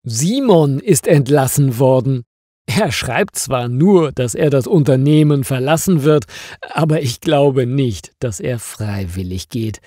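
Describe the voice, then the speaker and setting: A man's voice: mid-range voice, animated, unhurried, warm tone, no room sound, clean studio sound. A man voicing an exasperated, puzzled female office worker in emphatic storybook dialogue. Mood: composed.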